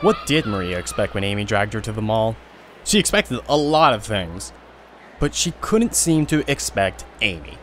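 A man's voice: on the low side, very animated, moderate pace, fairly bright tone, a little hiss or hum. Casual male audio drama narrator.

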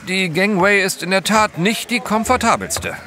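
Ein Schauspieler spielt in einem Hörspiel einen vornehmen, abenteuerlustigen britischen Gentleman, höflich und etwas wichtigtuerisch. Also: high-pitched, sing-song, normal speed. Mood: gallant. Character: genteel, adventurous British gentleman, fond husband. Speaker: actor in an audio drama. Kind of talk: German audio drama acting.

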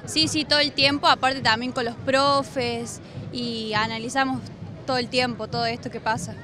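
A young woman student talks gently and casually in a street interview. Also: a little high, steady tone, normal speed, background noise. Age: young adult.

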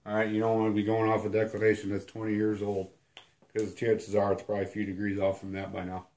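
A man in his thirties, hoarse and sniffling from a cold, giving a rambling, self-deprecating whiteboard lesson at home. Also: fairly low pitch, monotone, fast talker, a little mellow. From Illinois. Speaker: outdoors channel host. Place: small room at home, indoors.

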